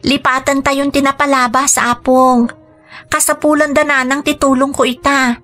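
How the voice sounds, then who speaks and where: A woman's voice: high-pitched, little pitch movement, moderate pace, bright, forceful tone. A woman acting an earnest, dutiful and forgiving young migrant worker in an Ilocano radio drama. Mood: earnest resolve.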